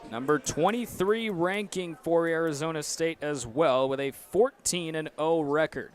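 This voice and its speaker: A young man with an American accent calls a baseball game live. His voice sits somewhat high. He speaks into a headset mic as a play-by-play announcer. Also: measured pace. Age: young adult. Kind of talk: live sports commentary.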